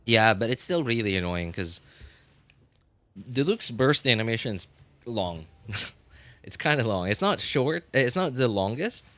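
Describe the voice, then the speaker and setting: A man live-streaming a game, chatting casually with viewers and answering chat in a relaxed, chatty way. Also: mid-range voice, measured pace, long pauses, no echo. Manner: frequent fillers and restarts, laughs easily.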